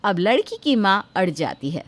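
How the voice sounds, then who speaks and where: A woman reads a dramatic story as its narrator. Her voice sits at a middle pitch and swings expressively through the scripted telling. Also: big pitch swings, moderate pace.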